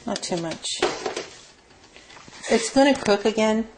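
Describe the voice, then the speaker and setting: A woman's voice: a little high, lively intonation, takes it slowly. Informal woman cooking, noisy home recording. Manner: informal, rambling, unscripted. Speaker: home cook. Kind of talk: casual cooking demonstration. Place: home kitchen, indoors. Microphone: handheld camera mic. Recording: noisy amateur camera audio with clicks.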